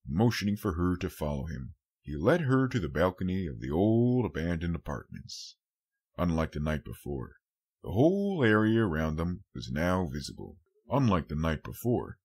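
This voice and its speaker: A man's voice: low voice, darkish tone, husky. A man reading a scripted story as an audiobook narrator.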